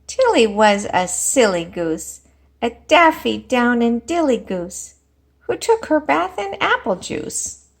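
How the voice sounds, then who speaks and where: Slow, high-voiced woman reading to children. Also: creaky. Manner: expressive, addresses children with questions and pauses. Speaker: teacher reading aloud to young children. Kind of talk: animated storybook read-aloud and lesson.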